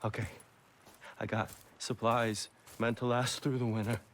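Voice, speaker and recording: A man's voice: medium pitch, level tone, measured pace, slight background noise, natural voice. An actor playing a desperate frontier fugitive, a polite and earnest American man who pleads deferentially, in a dramatic video game. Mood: uneasy, pleading.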